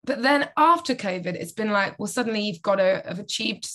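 A woman, co-hosting a casual podcast, her voice tired and a little croaky. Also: mid-range voice, lively intonation, a little fast, a bit bright. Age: 20s. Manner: chatty, reflective, quotes her own inner thoughts. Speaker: podcast co-host. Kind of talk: casual chat.

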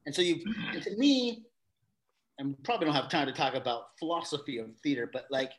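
A man's voice: fairly high pitch, very animated, a little fast, a loud crowd. A middle-aged man, a guest on an interview show, explaining his theater company's new work in a rambling way, often restarting his sentences. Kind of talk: casual interview talk.

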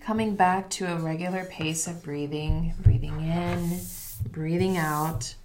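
A woman's voice: deep voice, fairly even intonation, a little slow, darkish tone. A woman with a slightly congested voice, hosting in an unscripted, instructive way with guided breathing and casual personal talk. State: slightly congested voice after illness. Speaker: podcast host and meditation guide.

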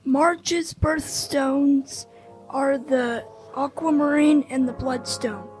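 High-voiced woman reading slowly. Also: fairly even intonation, a little mellow, soft background music, rough recording. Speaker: podcast team member. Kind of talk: reading short facts aloud.

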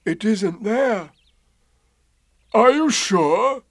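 A man voicing a gloomy old grey donkey in a storybook, with slow, drawn-out, mournful speech. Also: sits high, animated, no echo, pitched above the performer's own voice, exaggerated voice.

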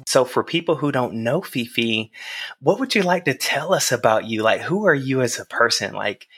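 A man's voice: medium pitch, animated, moderate pace, fairly bright tone, slightly rough, soft background music. Casual male podcast host. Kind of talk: casual informal interviewing.

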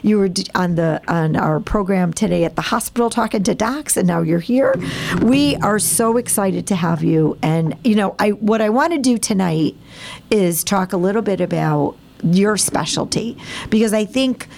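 A woman hosting a hospital talk show, hoarse and raspy from losing her voice, chatty and upbeat. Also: medium pitch, moderate pace, darkish tone, slight background noise. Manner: chatty, upbeat, laughs often.

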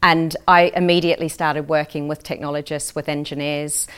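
Low-pitched middle-aged New Zealand woman. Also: little pitch movement, moderate pace, fairly bright tone, smooth. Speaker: interview guest, corporate executive. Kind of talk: conversational professional interview answers.

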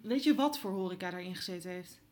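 A woman playing a curious, excitable reporter in an audio drama, reacting informally, with noise in the recording. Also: fairly low pitch, fast talker, natural voice. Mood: astonished, curious.

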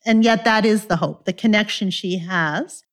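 Thoughtful woman interviewer. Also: medium pitch. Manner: thoughtful, halting with fillers, enthusiastic. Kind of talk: conversational interview.

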